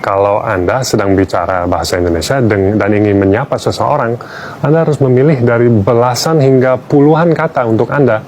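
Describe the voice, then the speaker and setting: A man's voice: medium pitch, fairly quick, darkish tone, slight background noise. A man from Australia, a native English speaker, giving a wry, playful public talk as a language teacher.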